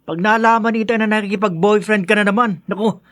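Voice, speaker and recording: An earnest young brother in a podcast drama. His voice is high for a man and very dry, with a serious, reproachful, questioning manner. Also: fairly even intonation, quick pace, fairly bright tone, dry recording. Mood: stern scolding. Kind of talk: Filipino podcast radio drama acting.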